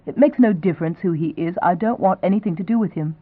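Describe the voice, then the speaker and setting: A woman's voice: lowish voice, fast, dry recording, lightly acted. A woman reading a curt, defensive young woman. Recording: tinny, phone-like sound.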